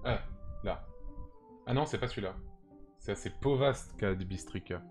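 A man's voice: lowish voice, a little slow, a little mellow. A young man from France, chatting casually and thinking aloud on a game livestream, close on the mic. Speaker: live streamer. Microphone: close boom mic with headset. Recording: music playing under the voice.

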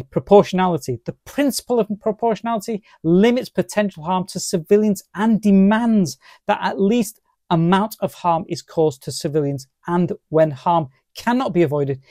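Mellow, dry-sounding man explaining formally. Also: sits high, no echo. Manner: formal, deliberate, emphatic on key terms. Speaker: presenter of legal explainer. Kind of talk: scripted explanatory narration.

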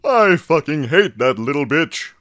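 A man voicing an overdramatic, foul-mouthed teenage goth vampire girl in a drawn-out, exaggerated way for a story reading. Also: on the high side, sing-song, fairly bright tone. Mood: dramatic, gushing.

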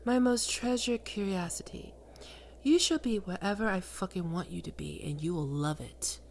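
A woman voicing a seductive, domineering female demon queen of lust in an ASMR roleplay, speaking slowly and sultrily, often in a whisper. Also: lowish voice, gravelly, slight background noise. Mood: possessive.

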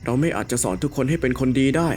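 A man voicing a calm, compassionate, philosophical character in an animated ghost story, speaking in a measured, reflective way. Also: medium pitch, faint music behind, natural voice.

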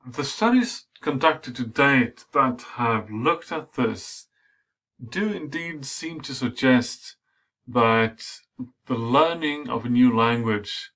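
A middle-aged man, a native Swedish speaker, giving an academic lecture in a measured way with frequent fillers. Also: medium pitch, a bit bright.

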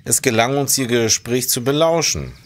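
An actor playing a sober police detective in a radio drama, measured and factual. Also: male voice, mid-range voice, expressive, lightly acted. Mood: matter-of-fact. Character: sober male police detective giving a report.

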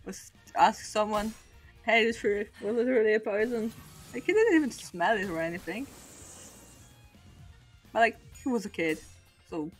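A woman's voice: mid-range voice, animated, normal speed, pauses often, faint music behind. A young woman from Poland with a Polish accent, speaking English as a second language and chatting casually while streaming a game, rambling and self-mocking. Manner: rambling, self-mocking, laughs often between thoughts. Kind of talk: casual chat over gameplay.

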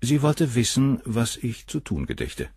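A man's voice: mid-range voice, very animated, measured pace, a little mellow, husky, no echo, full character voice. An actor in a German crime radio play playing a cold, cynical middle-aged surgeon, detached and coolly superior as he answers questions. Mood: cool, composed.

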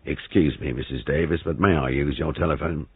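A man's voice: extremely low pitch, steady tone, fairly quick, slightly rough, no room sound, heavily acted. A man voicing a stiff, irritable military man with a clipped manner in an audiobook. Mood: polite. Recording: muffled phone-like audio.

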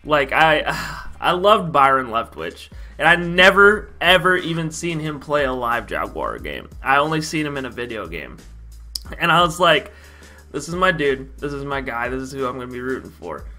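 A young American man from Idaho telling a story casually to camera, talking in an animated, slangy, emphatic way. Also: medium pitch, moderate pace, soft background music. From Idaho, United States. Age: young adult. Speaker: YouTube vlogger. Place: small bedroom, indoors.

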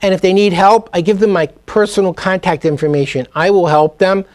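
An emphatic, energetic middle-aged man with a high voice, speaking as a guest on a studio talk show. His lapel mic gives a close, clean sound. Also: a little fast. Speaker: guest, speed reading teacher. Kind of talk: TV business interview. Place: TV studio set.

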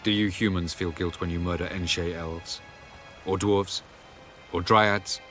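An actor playing a haughty, guarded ancient elf sage in a video game, speaking formally and with measured condescension. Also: male voice, deep voice, steady tone, fairly bright tone, faint music behind. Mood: haughty, accusing.

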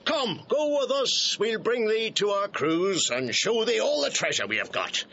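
Boisterous outlaw for classical radio drama: hearty, declamatory, bright, forceful and lively. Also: male voice, high voice, a little slow, full character voice. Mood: eager. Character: boisterous outlaw, banished gentleman of Verona. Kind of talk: classical Shakespeare audio drama acting. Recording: dull, hissy archive recording.

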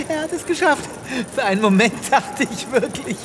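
A man's voice: very high-pitched, expressive, unhurried, noisy background. A man playing a vain, pompous space veterinarian in a comic audio drama, with melodramatic delivery. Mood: forced cheer.

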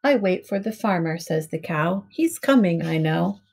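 Middle-aged woman, children's read-aloud, creaky husky voice, measured storybook phrasing. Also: on the low side, animated, darkish tone. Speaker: preschool teacher reading aloud. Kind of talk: picture book read-aloud for young children. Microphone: webcam at arm's length.

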